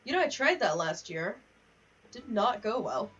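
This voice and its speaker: A woman's voice: medium pitch, big pitch swings, a little slow, fairly bright tone, husky. A young woman chatting casually on a gaming livestream, rambling from one aside to the next. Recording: distant, slightly muffled mic.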